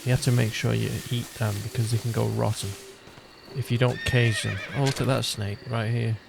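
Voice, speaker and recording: Female let's player, very deep voice with gravelly fry, unhurried casual commentary over noticeable game noise. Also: little pitch movement. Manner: casual, thinking aloud, exclaiming. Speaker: gameplay commentator. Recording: noisy, game ambience behind.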